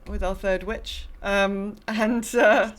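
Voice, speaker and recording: A woman in her mid-forties co-hosting a podcast, chatting casually in a rambling, self-deprecating and expressive way. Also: mid-range voice, slow. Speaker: podcast co-host. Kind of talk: casual chat.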